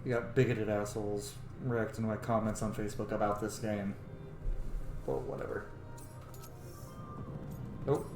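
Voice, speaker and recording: A man giving casual commentary on a game playthrough, informal and sweary, thinking out loud as he goes. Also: lowish voice, little pitch movement, moderate pace, long pauses. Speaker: gaming streamer. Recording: roomy echo on voice. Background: faint game music.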